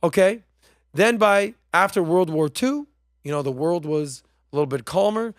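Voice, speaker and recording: A man's voice: sits high, expressive, normal speed, dry recording, clean studio sound. A man hosting a podcast, talking in a casual, emphatic and slangy way while commenting on played clips. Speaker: podcast host.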